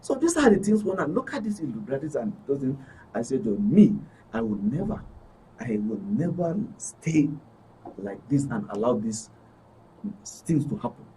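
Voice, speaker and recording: A man's voice: mid-range voice, sing-song, normal speed, dark tone, a little hiss or hum. A man with a Nigerian accent speaking as a studio guest, emphatic and repetitive in an impassioned, pleading way. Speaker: studio guest, club member. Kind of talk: impassioned interview talk. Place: radio and TV studio. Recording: distant microphone.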